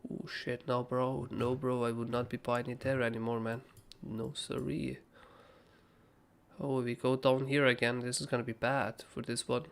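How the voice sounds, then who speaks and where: A young man with a hoarse, raspy voice, casually talking through trading charts as a livestream host, rambling and swearing freely. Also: mid-range voice, little pitch movement, moderate pace. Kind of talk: casual live chart analysis.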